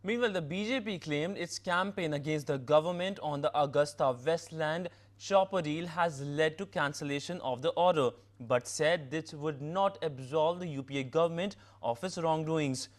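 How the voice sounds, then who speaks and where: A man's voice: fairly high pitch, normal speed, hardly any pauses. A man with an Indian accent reading scripted news as an anchor.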